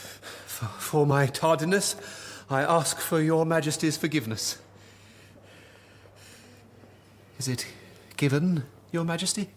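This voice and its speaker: A man's voice: fairly high pitch, moderate pace, a little hiss or hum, lightly acted. A man playing a deferential, anxious royal minister in an Elizabethan radio drama, careful, formal and obsequious. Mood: humble, apologetic. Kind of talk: period radio drama acting.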